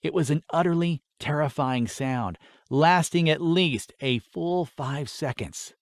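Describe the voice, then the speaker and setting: A man's voice: fairly high pitch, measured pace, dry recording, clean studio sound. A man narrating a scripted fantasy story as an audiobook reader.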